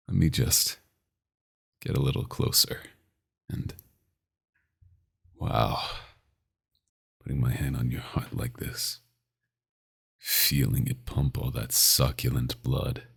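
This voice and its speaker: A man's voice: a bit bright, exaggerated voice. A man playing a possessive, domineering vampire doctor in a scripted ASMR roleplay, speaking in an intimate, condescending and menacing way. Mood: hungry, entranced. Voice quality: very deep, gravelly, often breathy.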